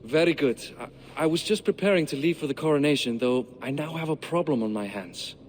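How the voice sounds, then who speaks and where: A man's voice: on the high side, expressive, brisk, in a large hall, a little hiss or hum, lightly acted. An actor playing an anxious nobleman with a formal, fretful way of speaking.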